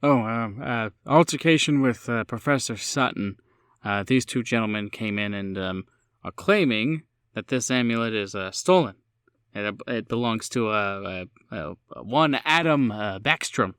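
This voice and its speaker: An obliging campus security guard in a tabletop game, voiced by a man. He talks informally and haltingly, a little flustered. The recording is dry. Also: on the low side, expressive, fairly bright tone, smooth, dry recording. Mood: hesitant.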